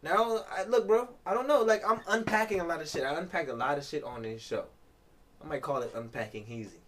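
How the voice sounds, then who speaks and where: A man's voice: fairly high pitch, brisk, a bit bright, slight background noise. A man hosting a casual podcast, reading aloud and riffing in an informal, profane, joking way. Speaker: podcast host. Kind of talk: casual chat with read-aloud article commentary.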